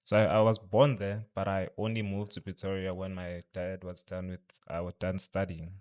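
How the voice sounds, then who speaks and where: A young man from South Africa's Venda region, a native Tshivenda speaker, being interviewed as a podcast guest. He speaks softly and hesitantly, with many pauses. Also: low voice, level tone. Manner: soft-spoken, hesitant, with fillers. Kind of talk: casual interview chat.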